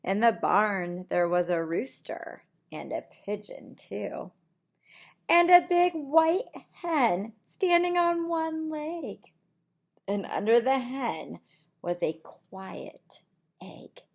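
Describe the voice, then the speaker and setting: A middle-aged woman reads a picture book aloud like a preschool teacher. Her pace is slow and sing-song, with a creaky edge to the voice. She adds simple asides for little listeners. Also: mid-range voice, animated. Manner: deliberate, sing-song reading with simple asides and counting questions. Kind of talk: picture-book read-aloud for young children. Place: small indoor room at home.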